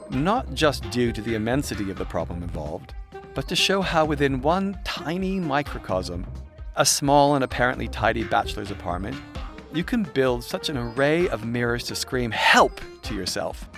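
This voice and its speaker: A man's voice: mid-range voice, fairly bright tone. A man reading his own self-help audiobook in a wry, irreverent, conversational narrating style, slipping into chatty asides. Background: music soundscape.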